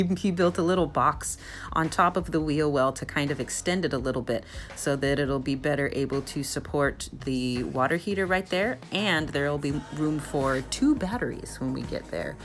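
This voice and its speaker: Low-voiced, bubbly woman vlogging. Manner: bubbly, excited, giggly. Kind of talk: casual renovation vlog voice-over.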